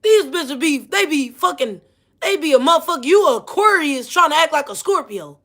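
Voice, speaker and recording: A woman's voice: high voice, expressive, normal speed, fairly bright tone. A woman with a Missouri accent, ranting casually to camera, heated and profane, repeating herself. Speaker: vlogger.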